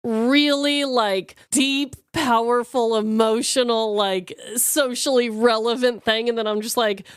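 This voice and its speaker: A woman co-hosting a podcast, chatting informally in an expressive, animated way. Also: on the high side, measured pace, hardly any pauses, smooth, no echo, clean studio sound. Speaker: podcast co-host. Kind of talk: casual chat.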